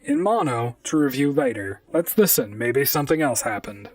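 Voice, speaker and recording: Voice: medium pitch, wildly swooping pitch, normal speed, a little mellow, no room sound, distant mic. An actor voicing a wry, talkative robot private detective in an audio drama, speaking and narrating in a dry, conversational noir manner. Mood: defensive, reasoning.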